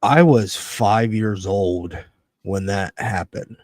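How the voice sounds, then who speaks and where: A man in his thirties from Connecticut, hosting a casual wrestling podcast, excitable and quick to swear and laugh. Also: lowish voice, dry recording, clean studio sound. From Connecticut, USA. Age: 30s. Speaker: podcast host.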